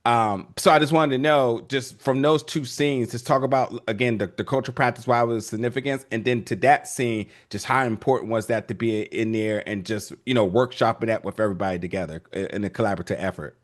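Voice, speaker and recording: A man's voice: mid-range voice, brisk, hardly any pauses. A man interviewing film guests, rambling through long questions with many restarts.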